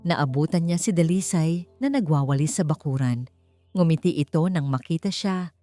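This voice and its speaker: A woman's voice: sits low, normal speed, dark tone, no room sound, faint music behind. A woman with a Filipino accent reading a dramatic scripted story as an audiobook narrator.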